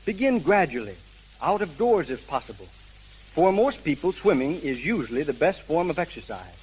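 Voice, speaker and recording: A man's voice: on the high side, big pitch swings, normal speed, a little mellow. A man giving scripted advice as a fitness trainer in 1930s radio style. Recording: noisy crackling 1930s radio recording.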